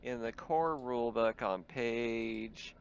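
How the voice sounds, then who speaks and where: Guy running a tabletop game, kinda halting explanations, muffled chat audio w some hiss. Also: medium pitch. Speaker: game master. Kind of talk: casual tabletop game talk.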